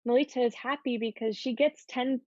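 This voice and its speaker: A woman's voice: on the high side, steady tone, a little fast, no room sound, lightly acted. Self-assured schoolgirl, slightly muffled, a woman acting. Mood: proud, earnest. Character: happy, self-assured, romantic teenage schoolgirl. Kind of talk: dramatic reading of a period play.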